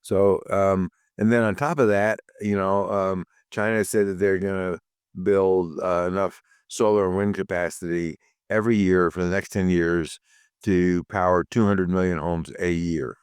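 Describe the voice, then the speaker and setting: A man with a deep voice runs his weekly security news rundown, sounding a bit rough. His voice stays smooth and clear under it, in a dry, close recording. He talks bluntly, with dry asides and plenty of ums and uhs. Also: steady tone, measured pace, dry recording. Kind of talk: solo news commentary.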